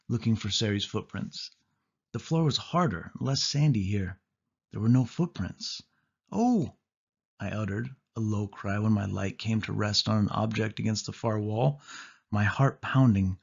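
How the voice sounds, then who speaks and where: A man's voice: on the low side, gravelly, husky. Dull lo-fi male storybook narrator. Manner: expressive, suspenseful delivery with acted exclamations. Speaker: audiobook narrator. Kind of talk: dramatic storybook narration in first person.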